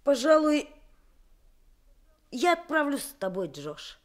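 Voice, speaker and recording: A woman's voice: high voice, big pitch swings, slow, heavily acted. A woman voicing a cheerful teenage boy who teases his friend in an old radio play. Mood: playful. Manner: playful, laughing. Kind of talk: 1960s Soviet radio drama acting.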